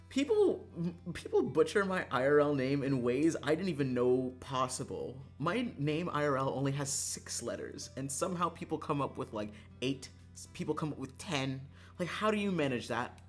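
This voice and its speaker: A livestreamer chatting casually to viewers in an animated, exasperated way, swearing and laughing often. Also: medium pitch, husky. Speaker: livestreamer, gaming streamer.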